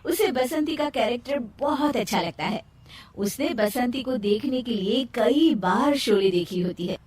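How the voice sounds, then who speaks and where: A woman's voice: a little high, distant mic. A woman narrating a scripted storybook tale.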